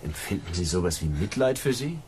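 A man acting a troubled, conflicted android hunter in a naturalistic radio drama, earnest and halting. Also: sits low, very animated, darkish tone, slight background noise. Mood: puzzled.

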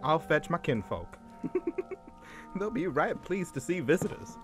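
A man's voice: on the high side, very animated, slow, music in the background. An actor playing a folksy but sinister Southern plantation man with a Southern US drawl in a horror audio drama. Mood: eerily hospitable. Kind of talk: radio-style horror drama acting.

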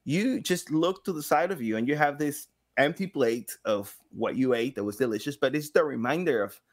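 A man in his thirties talks casually as a guest on a podcast. His pitch swings widely as he tells stories, and he slips into acting out short quotes. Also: moderate pace. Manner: animated, acting out little quotes. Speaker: podcast guest, game studio engagement director and sound designer. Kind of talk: casual conversational storytelling. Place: small home room with guitars.

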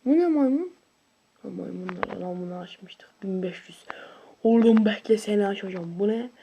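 An excitable video creator with a high voice, giving casual, slangy gameplay commentary with shouts and whoops. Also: normal speed, gravelly, a little hiss or hum.

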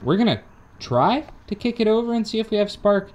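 A man co-hosting a garage engine build, joking around in relaxed banter. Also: sits high, brisk. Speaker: co-host. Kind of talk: casual workshop chat. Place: garage workshop.

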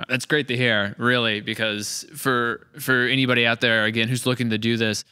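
A man in his thirties hosts a relaxed conversational podcast. His voice is bright and smooth, recorded close and dry. He reflects at length, circling back and rephrasing as he goes. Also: mid-range voice, normal speed, no room sound. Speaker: podcast host. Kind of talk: casual post-session podcast chat. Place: large indoor studio room. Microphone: close boom-mounted studio mic.

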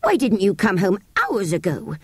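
A woman's voice: mid-range voice, wildly swooping pitch, moderate pace, husky, no room sound, studio quality. A woman voicing a stern, indignant nursemaid who scolds emphatically in a storybook reading. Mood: angry scolding. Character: scolding, scornful English nursemaid, sharp and indignant.

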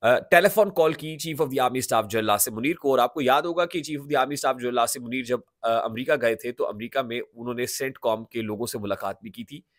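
Pakistani guy in his 30s doing political commentary, medium pitch, emphatic, close studio mic, very dry sound. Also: normal speed, hardly any pauses, no room sound. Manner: emphatic, repeats and rephrases points, mixes in English words. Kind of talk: news commentary to camera. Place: small indoor home studio. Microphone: large studio microphone close in front.

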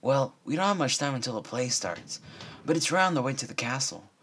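A man's voice: medium pitch, big pitch swings, a little fast, a bit bright, slight background noise, voice pushed up. A man plays a confident young unicorn mare in a storybook reading. The voice is lifted above his own, casual and upbeat. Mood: cheerful. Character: cheerful, confident young female unicorn pony.

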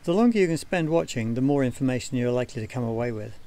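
A man giving scripted documentary narration for a wildlife training film in a measured, explanatory manner. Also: medium pitch, animated, warm tone.

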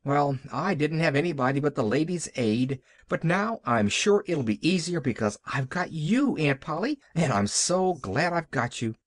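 Man voicing an eager, high-pitched chattering little girl. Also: very animated, moderate pace, hardly any pauses, dry recording. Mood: brave, wistful. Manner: breathless, rambling, eager. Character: chattering, relentlessly glad orphan little girl.

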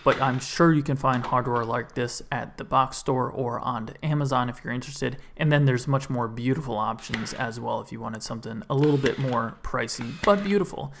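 A man's voice: medium pitch, normal speed, few pauses, noisy background. A man in his thirties, host of a woodworking channel, narrating his build in a voice-over and explaining each step with upbeat enthusiasm for his tools.

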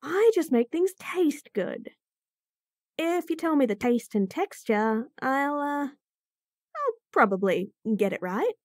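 A woman's voice: high voice, very animated, slow, darkish tone, no room sound, studio quality. A woman playing a bratty bunny-girl chef. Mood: sassy. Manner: sassy, bratty, teasing. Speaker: voice actor in a roleplay audio. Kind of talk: F4M roleplay audio, acted monologue.

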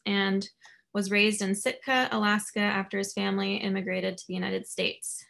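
A young woman hosting an online event, giving brisk housekeeping notes before introducing the speaker over a webcam. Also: mid-range voice, gravelly, a bit bright. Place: office, indoors. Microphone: webcam on a video call.

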